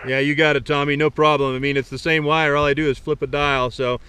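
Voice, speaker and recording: A man's voice: on the high side, little pitch movement, fast talker, sharp tone, clear, resonant tone, no room sound. A man talking casually and easily outdoors as an amateur radio operator, in colloquial language. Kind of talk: casual radio chat and outdoor vlog talk. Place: outdoors on a rocky mountain summit. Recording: faint outdoor background noise.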